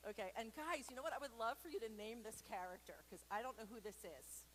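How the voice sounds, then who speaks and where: A woman's voice: on the high side, lively intonation, quick pace, a bit bright, low-quality recording. Playful woman storyteller with noise behind her. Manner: playful, extroverted, self-deprecating, rambling. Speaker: children's story reader for a church. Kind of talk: informal read-aloud storytime with chatty asides to children. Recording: noticeable background noise.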